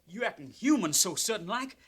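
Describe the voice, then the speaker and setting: An actor playing an excitable young American cavalry trooper with rustic country speech in a 1950s radio western. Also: male voice, high-pitched, brisk, breathy edge, dry recording, clean studio sound, heavily acted. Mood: puzzled, respectful. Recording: vintage 1950s radio recording.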